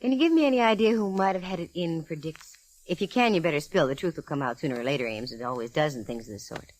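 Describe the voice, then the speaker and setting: A woman's voice: sits low, animated, a little mellow. An actress playing a self-assured, wry, flirtatious female private detective in a 1940s radio drama. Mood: probing. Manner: wry, flirtatious, quick with quips. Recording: noisy old radio recording.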